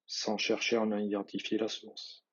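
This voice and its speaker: Husky low-voiced man guiding relaxation. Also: completely flat delivery, moderate pace, fairly bright tone, highs cut off. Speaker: yoga nidra guide. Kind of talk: guided relaxation meditation, read instructions.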